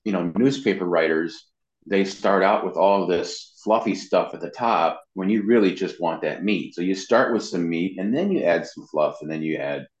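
A man's voice: low-pitched, moderate pace. A man giving informal, explanatory advice as an interview guest, checking in with "you know" as he goes. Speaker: interview guest, marketing and web consultant. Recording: muffled lo-fi sound, roomy echo.

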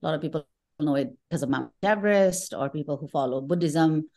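Low-pitched middle-aged woman from Nepal. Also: fast, a little mellow. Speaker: podcast guest, tech professional. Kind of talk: conversational interview.

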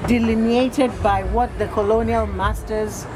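A Ghanaian woman in conversation as an interview guest, explaining things in a measured, emphatic way and repeating key phrases. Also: fairly high pitch, steady tone, noisy background. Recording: music behind the voice.

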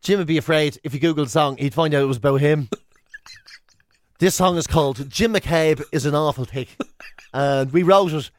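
A man's voice: on the high side, normal speed. An Irish man co-hosting a radio show with teasing, rambling comic banter, acting out his examples as he goes.

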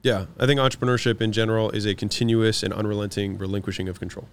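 A man with a fairly low voice talks fast and with confidence in an interview, rattling off his points in quick runs. French is his first language, and he sounds at ease. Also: steady tone, slight background noise. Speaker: guest, business owner. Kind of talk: interview answers.

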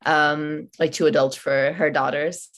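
A woman with a very low-pitched voice whose first language is Malayalam. She talks in a reflective, searching way, pausing and restarting often. She speaks as an author guest on a podcast interview. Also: steady tone, a bit bright. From India, raised in Oman. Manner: thoughtful, many fillers and restarts.

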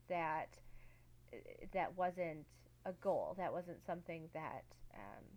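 A woman's voice: sits low, rough recording. A woman co-hosting a casual podcast, talking reflectively with many "like" and "um" fillers. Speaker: podcast co-host.

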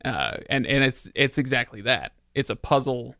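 A man's voice: mid-range voice, sing-song, normal speed, pushed and bright, dry recording. Man hosting a casual, dry-sounding discussion. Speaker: podcast host. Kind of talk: casual film and game discussion.

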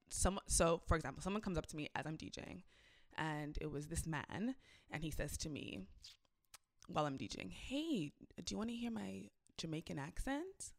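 A young woman who DJs, chatting casually and telling a story with lively shifts, acting out what people said. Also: low voice. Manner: animated storytelling, acts out quotes. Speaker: club DJ. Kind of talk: casual conversation.

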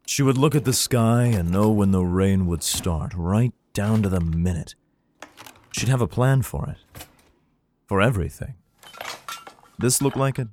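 A man's voice: on the low side, lively intonation, a little slow, long pauses, darkish tone, slight background noise. An actor playing an anxious, haunted father in a sci-fi audio drama, with an intense, theatrical delivery. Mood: weary, tense. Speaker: lead actor and narrator.

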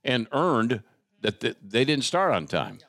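An American man talks like a chatty podcast host. His delivery is animated and folksy, rolling through asides and questions. The sound is close and dry. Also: medium pitch, measured pace, no room sound. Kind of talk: casual interview and conversational sponsor read.

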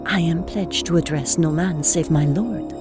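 Deep-voiced dramatic lady storyteller, an actress. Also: measured pace, natural voice. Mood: firm, refusing. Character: eldest lady, wronged wife telling her tale. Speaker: narrator. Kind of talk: dramatic scripted storytelling, archaic prose. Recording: music behind.

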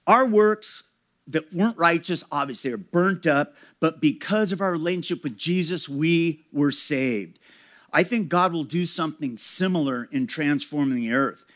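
A man's voice: a little high, moderate pace, a bit bright. A man preaching a sermon to his congregation, earnest and emphatic, speaking straight to his listeners. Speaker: pastor.

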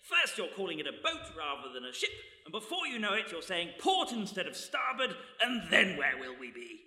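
A jovial ship's captain for a comic radio play, piercingly bright and echoing in a live hall. He blusters and stammers hesitantly, rambling fussily in a high register. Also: wildly swooping pitch, brisk, full character voice. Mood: fussy reproach. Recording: echoey hall, live stage recording.

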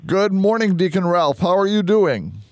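A man speaking as a deacon and radio host, conversational and earnest, explaining things deliberately. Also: high voice, sing-song, no echo. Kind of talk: conversational religious radio hosting.

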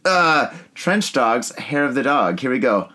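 A man hosting a casual drinking podcast from a hotel room, joking and laughing through animated banter. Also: medium pitch, unhurried. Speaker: podcast host. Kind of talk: casual drinking-show chat.

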